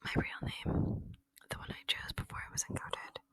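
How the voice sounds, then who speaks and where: An ASMR performer voicing a gentle, lonely ancient alien in a breathy whisper, speaking tenderly and reflectively in a roleplay. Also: normal speed, dry recording, natural voice. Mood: solemn, confiding.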